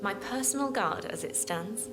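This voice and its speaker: An actress playing a composed corporate woman giving a measured, matter-of-fact briefing in a video game. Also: mid-range voice, expressive, noisy background, close to an everyday voice. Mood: composed, assured. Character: composed corporate woman profiling her staff.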